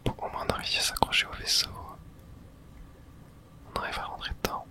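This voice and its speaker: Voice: fairly quick, pushed and bright. A person whispering breathily while narrating their own video game play in an ASMR style, murmuring reactions and mild swearing.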